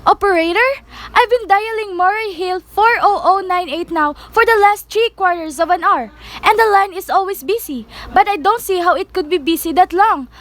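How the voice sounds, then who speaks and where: A woman's voice: very high-pitched, normal speed, a bit bright. A teenage girl in an amateur radio play, playing an anxious, insistent, sickly woman alone at home. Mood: fretful. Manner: agitated, insistent, pleading. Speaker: student actor.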